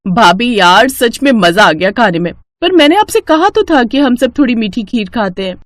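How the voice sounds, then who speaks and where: A woman's voice: on the high side, expressive, normal speed, dry recording, studio quality, close to an everyday voice. A woman voicing a playful young sister-in-law in a family storybook tale, chirpy, informal and teasing. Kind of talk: acted Hindi family storybook dialogue.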